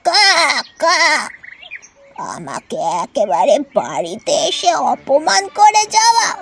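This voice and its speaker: A woman's voice: high voice, huge pitch swings, slow pace, noisy background, heavily acted. A woman voicing a touchy, scheming mother crow in a cartoon, with a wheedling, cawing delivery. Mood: vengeful. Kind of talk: cartoon voice acting.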